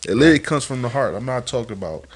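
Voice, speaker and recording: A man's voice: mid-range voice, animated, racing, slightly rough. A man who is a music artist, talking earnestly as a guest on a casual podcast and often checking in with his listener. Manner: earnest, repeats "you feel me".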